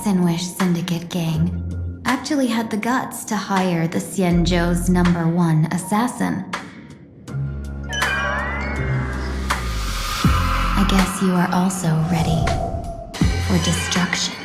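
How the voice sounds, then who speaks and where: A woman voicing a composed, sly fox-woman diplomat for a video game, speaking in a measured, polite and coolly self-possessed way. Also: lowish voice, fairly even intonation, lightly acted. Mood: cool threat. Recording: roomy echo under clear background music.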